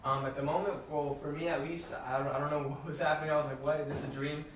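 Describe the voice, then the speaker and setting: A man in his twenties in a casual interview, upbeat and quick to laugh. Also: on the high side, level tone. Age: 20s. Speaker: guest, boy band member. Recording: echoey, distant with crowd.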